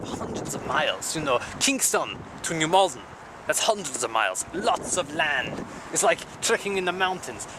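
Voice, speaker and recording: A young man playing a self-styled wandering samurai, rambling in a deadpan way with sudden crude outbursts, recorded outdoors on city streets. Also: a little high, natural voice. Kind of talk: improvised character comedy to camera. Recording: loud street and traffic noise.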